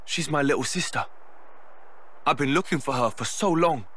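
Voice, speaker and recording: A man's voice: medium pitch, moderate pace, a bit bright, husky. An actor playing a hot-headed, impulsive young man in a video game cutscene, brash and urgent. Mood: shaken.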